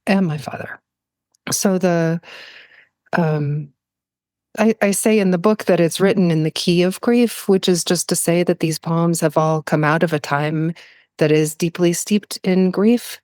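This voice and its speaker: A woman with a slightly low voice speaks as a guest in a reflective conversation. She is thoughtful and halting, often searching for the right word. The recording is dry and free of room sound. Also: a little mellow, dry recording. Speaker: podcast guest, poet.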